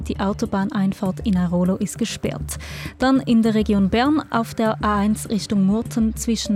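A woman with a Swiss German accent presenting on the radio as host of a children's programme. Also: mid-range voice.

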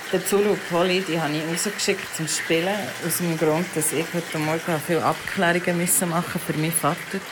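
A woman's voice: lowish voice, noisy background. A woman with a Swiss German accent talking candidly and off the cuff, as in a casual personal audio diary. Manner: candid, unscripted, musing. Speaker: podcast host and parent.

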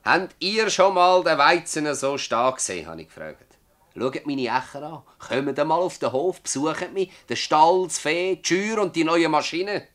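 An actor playing a stubborn, bitter old village farmer in a Swiss German dialect radio play, rambling and emphatic with rhetorical repetition. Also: male voice, on the high side, lively intonation, normal speed, fairly bright tone. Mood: agitated, rueful.

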